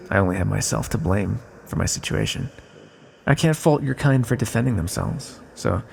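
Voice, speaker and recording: A man playing an ancient exiled villain, a weary, teasing magical being, musing slowly and wryly in an ASMR roleplay. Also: medium pitch, vocal fry, husky. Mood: reflective, regretful. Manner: slow, musing, wry, theatrical. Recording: long cavernous reverb.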